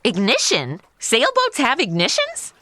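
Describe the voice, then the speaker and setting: A woman's voice: a little high, wildly swooping pitch, a little slow, pushed and bright, dry recording, heavily acted. An actress playing a sassy, outspoken modern woman, sarcastic and indignant, in a comedy audio drama.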